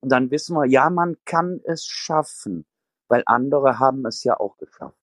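A man speaking as a podcast guest, talking slowly and thoughtfully in a reflective conversation. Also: mid-range voice, expressive, fairly bright tone, dry recording.

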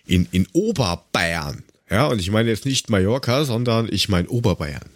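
A man's voice: lowish voice, animated, moderate pace, no room sound, clean studio sound. A man hosting a casual comedy podcast, chatting and telling stories in a sarcastic, rambling way. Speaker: podcast host. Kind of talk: casual comedic chat and storytelling.

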